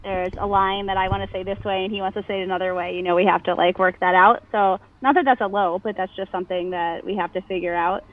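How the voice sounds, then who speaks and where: A woman's voice: medium pitch, level tone, fast, few pauses, slight background noise. Nasal woman with a Jersey accent. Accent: New Jersey. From New Jersey. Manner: nasal, chatty with many fillers and laughs. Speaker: podcast guest. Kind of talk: casual interview chat. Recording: phone-like narrow band.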